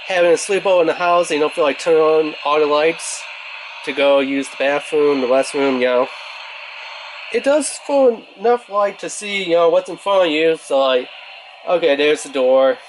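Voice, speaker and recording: A man's voice: on the high side, lively intonation, normal speed, a little hiss or hum, poor audio. A man giving a casual product review in an informal, rambling way, thinking aloud as he goes.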